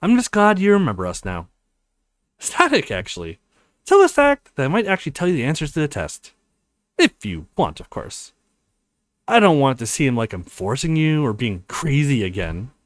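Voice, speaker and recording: A man's voice: medium pitch, huge pitch swings, normal speed, no echo. A man voicing a bubbly, teasing young college woman, an affectionate girlfriend in a visual novel. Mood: delighted.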